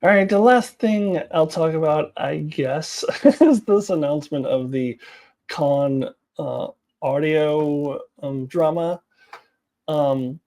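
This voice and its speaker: A woman giving casual, opinionated commentary to viewers as a video host, in a blunt, informal and halting way. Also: low voice, dark tone.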